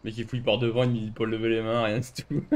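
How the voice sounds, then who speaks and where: A man's voice: medium pitch, wildly swooping pitch, fairly quick. A man playing a civilian in an online roleplay game, arguing sarcastically and commenting casually as he streams. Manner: argumentative, sarcastic.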